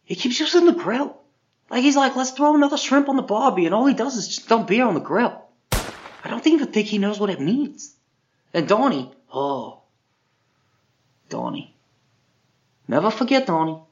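A man's voice: sits high, lively intonation, moderate pace, pauses often, dull audio. A man playing an exasperated, boastful famous actor on a Bigfoot hunt, complaining in a whiny, incredulous way in improvised comedy. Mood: irritated. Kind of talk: improvised comedy character monologue and banter.

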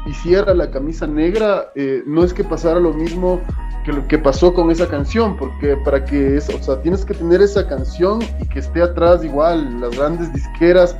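A man from Ecuador with an Ecuadorian accent, a musician guest in a casual interview, talking in an animated, rambling way full of fillers. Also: on the high side, normal speed, highs cut off, faint music behind. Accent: Ecuadorian Spanish. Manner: animated, rambling, fills with "o sea".

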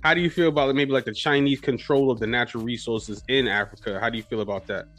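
A man's voice: medium pitch, steady tone, fast talker, a bit bright, dry recording, faint music behind. A man hosting a podcast, talking in a hyped, slangy, repetitive way in casual interview chat. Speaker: podcast host. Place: New York City radio studio.

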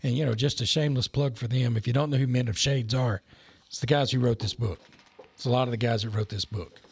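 Gravelly, husky co-host. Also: male voice, medium pitch, steady tone, fast talker, dry recording. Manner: dry joking, wry. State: tired. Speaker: podcast co-host. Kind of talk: casual church podcast chat.

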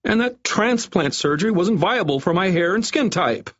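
A man's voice: very high voice, wildly swooping pitch, slow, a bit bright, dull audio, voice pushed up, full character voice. A man voicing a terrified, vain, cringing middle-aged doctor in an audiobook, stammering and pleading as he wails. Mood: wailing, defensive. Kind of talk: audiobook character voice.

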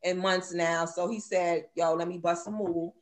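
A woman's voice: lowish voice, fairly even intonation, normal speed. A woman from Brooklyn, hosting a casual live online panel chat with blunt, gossipy talk and frequent laughter. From Brownsville, Brooklyn. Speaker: host.

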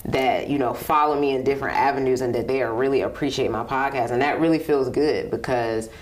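A woman hosting her own podcast, relaxed and candid, rambling easily through her thoughts. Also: a bass voice, a little fast. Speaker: podcast host. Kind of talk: casual podcast conversation.

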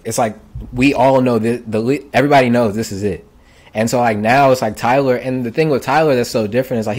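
A man's voice: mid-range voice, darkish tone. A man hosting a casual music podcast, talking in a loose, laid-back way with plenty of filler words. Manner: laid-back, lots of "like" and "I feel like". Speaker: podcast host.

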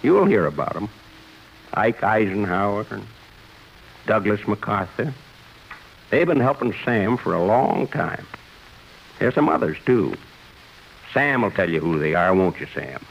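A low, gravelly old man, gentle and reflective, bidding the world farewell as the old year. He talks in a folksy, fatherly way with a lively rise and fall. It sounds like a dull 1950s radio broadcast with faint music underneath. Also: unhurried, pauses often, a little hiss or hum, full character voice. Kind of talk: 1950s radio drama acting.

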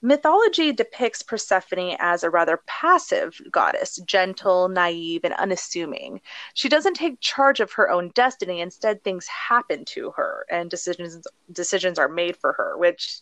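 A woman's voice: fairly low pitch, expressive, moderate pace, a bit bright, dry recording. A woman co-hosting a casual podcast, reading out notes with frequent giggles. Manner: giggly, reads notes aloud. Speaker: podcast co-host. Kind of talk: casual chat.

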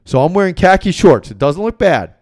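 An American man hosting a sports podcast as a coach, talking casually and bluntly in an animated storytelling way. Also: sits high, brisk. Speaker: sports betting podcast host and basketball coach.

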